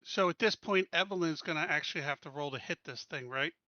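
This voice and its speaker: A man's voice: fairly high pitch, fast, sharp tone, no room sound, highs cut off. A man playing a tabletop game, giving orders hesitantly and asking about the rules. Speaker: player. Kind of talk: casual play at a tabletop game.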